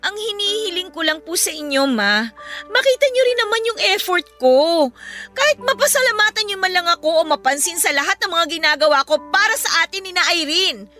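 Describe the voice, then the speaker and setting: High-voiced, pleading young Filipino daughter. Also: female voice, lively intonation, brisk, sharp tone, faint music behind, full character voice. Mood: bitter, wounded. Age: young adult. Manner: respectful, pleading. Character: dutiful, hurt young working daughter pleading with her mother. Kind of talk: emotional radio drama acting.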